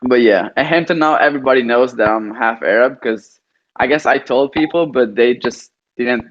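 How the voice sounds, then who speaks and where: A man's voice: mid-range voice, moderate pace. A young man from Italy talking casually as a student guest, hesitant and full of fillers. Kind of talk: casual conversation. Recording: dull lo-fi audio.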